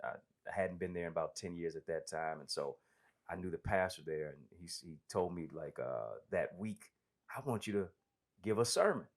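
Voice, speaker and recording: A man being interviewed as a guest preacher, telling stories in a lively way and laughing often. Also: low-pitched, normal speed. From United States. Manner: animated, laughs often, says "you know what I'm saying". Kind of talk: casual interview storytelling.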